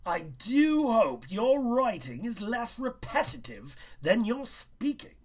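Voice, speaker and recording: A man's voice: extremely high pitch, sing-song, slow, slightly rough, pitched above the performer's own voice, full character voice. A man voicing a stern, vain male schoolteacher in a storybook reading, speaking in a pompous, condescending and emphatic way.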